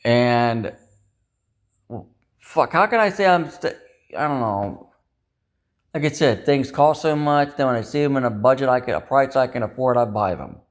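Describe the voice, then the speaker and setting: A man's voice: medium pitch, animated, moderate pace, pauses often. A man rambling in a personal, confessional way, upset and dejected, venting with self-critical frustration and frequent swearing. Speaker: personal vlogger. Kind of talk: rambling confessional talk to camera.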